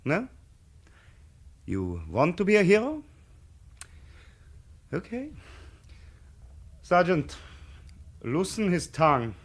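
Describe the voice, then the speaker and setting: An actor playing a menacing, taunting German wartime officer who gives orders and interrogates, in a radio drama. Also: male voice, sits high, wildly swooping pitch, takes it slowly, long pauses, full character voice. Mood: cold, taunting. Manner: taunting, commanding.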